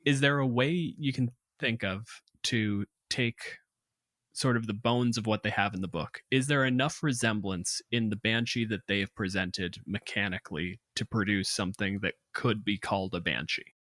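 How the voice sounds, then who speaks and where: A man with a lowish voice hosts an analytical discussion. He speaks in a measured, articulate manner with a critical bent. The recording is close and dry. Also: a bit bright, dry recording.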